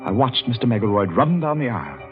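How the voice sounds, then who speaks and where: A man's voice: medium pitch, sing-song, fast, over music, lightly acted. An actor playing a haunted, anxious young man, brooding and jittery, in the first-person style of 1940s radio drama. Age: young adult. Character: haunted, anxious young man narrating his own doom. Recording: muffled 1940s radio recording.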